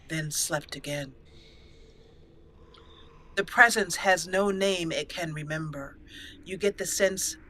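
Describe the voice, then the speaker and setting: A woman's voice: sits low, sharp tone, a little hiss or hum. A woman hosting a let's play, switching between storytelling narration and commentary on her roleplay game.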